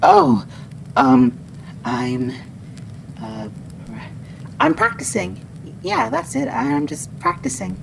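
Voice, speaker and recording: A woman playing a friendly, soft-spoken female wendigo in an ASMR storytelling roleplay. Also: medium pitch, huge pitch swings, slow, gravelly, long pauses, slight background noise, heavily acted. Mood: nervous, flustered. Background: music.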